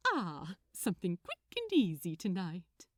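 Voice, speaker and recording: A woman voicing a bright, polite, gentle male gift-wrapper with a courteous, prim manner in a story reading. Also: fairly low pitch, wildly swooping pitch, a little slow, no room sound, clean studio sound. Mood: cheerful.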